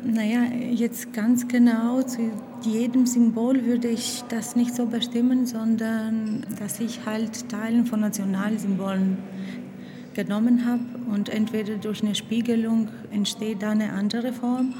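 A young woman talks through her student artwork, pausing and hunting for words. Her pitch stays flat and even throughout. Clear background noise hisses under the recording. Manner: soft-spoken, hesitant, searching for words. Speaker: art student interviewee. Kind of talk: casual interview answers. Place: basement exhibition room. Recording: noisy background.

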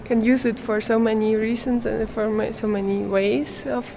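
A woman's voice: fairly high pitch, flat delivery, moderate pace, gravelly, warm tone, a little hiss or hum. A young woman from Switzerland, a native German speaker, answering interview questions in English, hesitant and searching for words, laughing often. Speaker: art student.

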